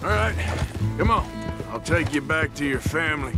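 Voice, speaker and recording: Gruff, world-weary cowboy outlaw, Southern drawl, lively pitch swings, game western, loud music behind. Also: male voice, moderate pace. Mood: weary, reassuring. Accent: American Southern. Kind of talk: video game character acting.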